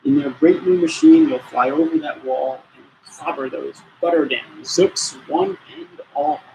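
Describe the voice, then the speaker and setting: A man's voice: on the high side, a little slow, creaky, a little mellow, slight background noise, low-quality recording. A man voicing a boastful, jolly chief commander who gives grand, encouraging rhyming orders in a storybook reading. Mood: gleeful, rallying.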